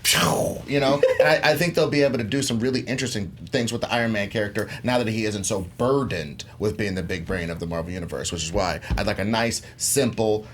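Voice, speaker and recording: A man's voice: lowish voice, quick pace, few pauses, a little hiss or hum. A man arguing his case as a contestant on a film debate show, emphatic, repeating phrases and stumbling into restarts. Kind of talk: animated persuasive argument about films.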